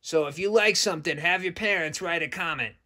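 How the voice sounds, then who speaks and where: A man voicing a cocky, blunt talking shark puppet who addresses children in a gravelly, tense voice. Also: fairly high pitch, fairly quick, pushed and bright. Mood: encouraging.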